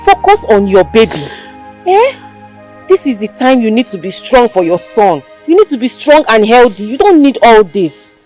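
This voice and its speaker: A soothing big sister. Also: female voice, lowish voice, sing-song, unhurried, soft background music. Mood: coaxing. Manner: soft soothing reassurance. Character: caring older sister, soothing.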